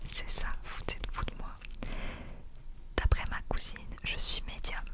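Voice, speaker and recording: A woman's voice: measured pace, close to an everyday voice. Woman whispering as a dramatic teenage schoolgirl fortune-teller. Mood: huffy. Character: teenage schoolgirl playing at palm-reading, dramatic, huffy.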